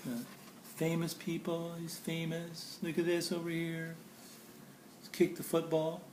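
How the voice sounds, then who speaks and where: A man's voice: fairly high pitch, level tone, measured pace, a little mellow. A man reading a children's picture book aloud in a theatrical, playful way, with casual asides about the pictures. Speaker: storybook reader. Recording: roomy echo, faint background noise.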